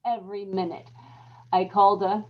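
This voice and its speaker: An actress playing a guilt-haunted, murderous young wife in a brooding, confessional old-time radio horror monologue. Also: female voice, mid-range voice, flat delivery, moderate pace, narrow, tinny audio, slight background noise, natural voice. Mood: feverish, gloating. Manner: dramatic, brooding confessional with repeated phrases.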